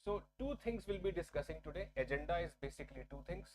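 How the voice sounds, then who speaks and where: A man's voice: fairly high pitch, animated, fast talker, a little hiss or hum. A young man with an Indian English accent teaching exam-prep math in a live online lesson. He talks in a chatty way, repeats himself and often checks whether viewers are following. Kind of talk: live-streamed whiteboard lesson. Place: indoor studio at a large screen.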